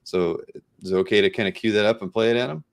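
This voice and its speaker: A man with a low-pitched voice hosts a show. He speaks in an informal, conversational way, as in a casual interview. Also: fast, gravelly, clean tone. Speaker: show host.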